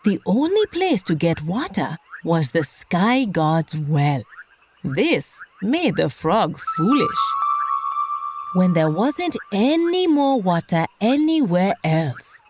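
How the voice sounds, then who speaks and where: A woman hosting and telling a scripted children's story, expressive and speaking directly to young listeners. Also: fairly low pitch, slow pace, background noise. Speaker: host and storyteller of a children's podcast.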